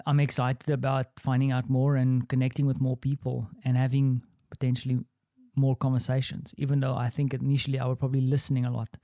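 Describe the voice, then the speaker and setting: A man's voice: medium pitch, creaky, dark tone, no room sound, clean studio sound. A man with an Afrikaans accent hosting a solo podcast, talking in a rambling, reflective, self-deprecating way from his small office. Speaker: podcast host.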